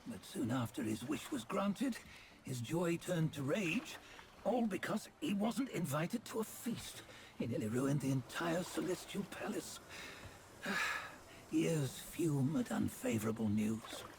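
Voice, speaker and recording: An actor voicing a stern, judgmental sage in a video game cutscene, speaking in measured, archaic, sententious phrases. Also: male voice, a little high, sing-song, gravelly, background noise, full character voice. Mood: disdainful. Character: stern, judgmental sage narrating old sins.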